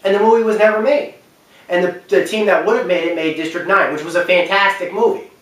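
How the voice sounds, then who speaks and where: A man's voice: sits high, steady tone, fast talker, a bit bright. Echoey, distant man talking emphatically. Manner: emphatic, repeats phrases for stress. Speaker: video commentator. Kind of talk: unscripted opinion talk about film and games. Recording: very echoey room, distant mic.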